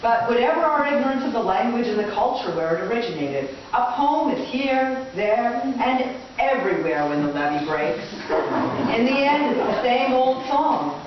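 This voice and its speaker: A woman's voice: fairly high pitch, lively intonation, moderate pace. A woman performing a scripted poetry reading in the style of a mock-academic lecture, with theatrical phrasing, live in a gallery. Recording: echoey room, distant dull mic, clear background noise.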